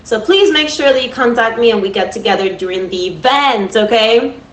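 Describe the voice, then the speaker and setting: An upbeat Cuban-accented woman in her thirties chats directly to her livestream viewers in a lively, friendly way. Her voice sits a little high. The room gives the sound a clear echo. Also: a little fast. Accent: Cuban Spanish. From Cuba. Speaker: livestream host. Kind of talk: casual livestream talk to viewers. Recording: echoey room.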